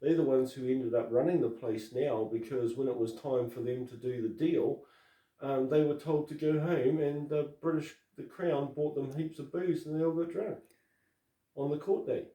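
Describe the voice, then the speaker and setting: Halting man in an echoey room. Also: medium pitch, mellow, distant mic. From New Zealand. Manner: hesitant, many fillers and restarts. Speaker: co-host. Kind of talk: casual political chat. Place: Christchurch.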